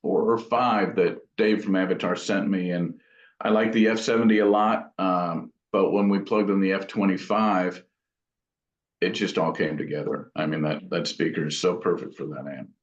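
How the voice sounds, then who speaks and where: Low-voiced man in a dull, echoey room. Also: fairly even intonation, normal speed. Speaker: guitar amp builder and guest. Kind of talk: casual explanatory interview talk. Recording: dull, roomy, echoing audio.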